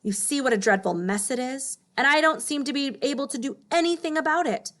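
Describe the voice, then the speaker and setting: A woman acts out a vain, hot-tempered male lion from a children's storybook. He is bossy and fretful, exclaiming and demanding, and his pitch leaps about. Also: expressive, a little fast, a bit bright.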